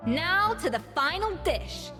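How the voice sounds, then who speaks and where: A woman's voice: extremely high pitch, very animated, slow pace, full character voice. A woman playing a haughty, exacting master chef in a video game, precise, pompous and bluntly critical. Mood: scathing. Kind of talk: video game character acting. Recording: music behind the voice.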